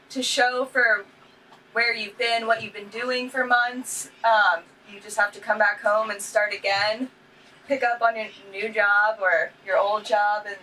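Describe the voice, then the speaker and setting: A young woman from Saipan talks in a relaxed, laid-back way, her voice sitting a bit high. She sounds distant from the camera in a small boat cabin, with faint noise behind her. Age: 20s. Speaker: boat owner and licensed captain. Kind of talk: casual interview answers. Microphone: camera across the table.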